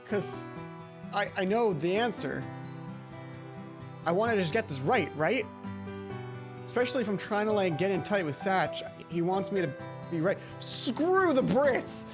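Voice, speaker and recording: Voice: medium pitch, expressive, measured pace, pauses often. Gaming youtuber doing a let's play, rambly and playful, keeps interrupting themselves, game music behind the voice. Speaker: gaming video host. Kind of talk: let's play commentary, reading visual novel text aloud. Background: video game music.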